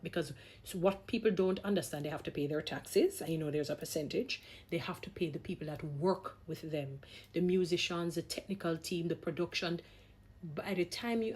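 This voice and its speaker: A woman's voice: fairly low pitch, a little mellow, husky. A woman vlogger giving opinionated talk in an emphatic, exhorting manner, repeating phrases for stress. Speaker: vlogger, gospel singer.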